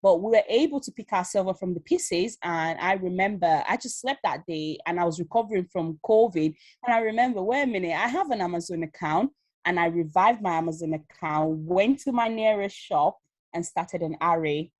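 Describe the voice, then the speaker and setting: A woman's voice: on the low side, moderate pace, dry recording. A woman in her thirties from Leeds, with a Yorkshire accent mixed with Nigerian, telling her story in a casual interview over a video call. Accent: Yorkshire with Nigerian touches. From Leeds, England. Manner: animated, laughs often, many fillers. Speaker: guest, Amazon seller.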